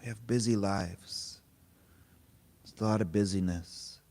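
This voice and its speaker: A man leading a guided meditation, speaking in short, unhurried, gently informal phrases with pauses between them. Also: on the low side, fairly even intonation. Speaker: meditation teacher. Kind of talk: guided meditation, spoken softly to a group.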